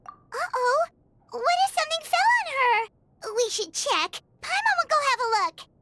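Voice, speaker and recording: Very high squeaky fairy sidekick. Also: female voice, sing-song, a little slow, bright, forceful tone, a little hiss or hum, heavily acted. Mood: startled, curious. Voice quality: squeaky, childlike. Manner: refers to herself in third person, exclamatory. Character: tiny excitable fairy companion with a childlike voice. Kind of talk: video game voice acting. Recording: music playing behind the voice.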